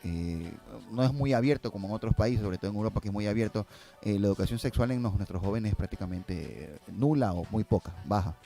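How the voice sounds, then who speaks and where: A man's voice: fairly low pitch, a little fast, warm tone, soft background music. A man chatting casually as a guest, often thinking aloud and repeating himself. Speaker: guest filmmaker. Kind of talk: casual conversational interview answers.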